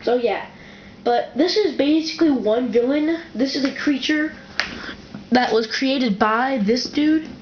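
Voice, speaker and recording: Excitable woman reviewer, rambling and self-correcting, recorded on a camera mic in a roomy, echoing home room. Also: high-pitched, unhurried. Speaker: toy reviewer. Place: indoor room with a wooden floor, off camera. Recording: dull, echoey home camera recording with faint hiss.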